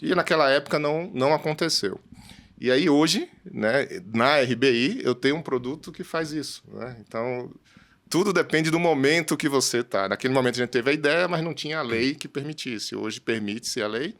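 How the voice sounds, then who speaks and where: A man with a Brazilian Portuguese accent hosting a conversational business discussion in a halting way, often adding tag questions. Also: mid-range voice, fairly bright tone. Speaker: host.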